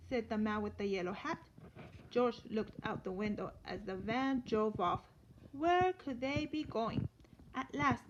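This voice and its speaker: A woman teacher reading a children's story aloud in an expressive storytelling manner. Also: fairly high pitch. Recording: dull lo-fi sound with light noise.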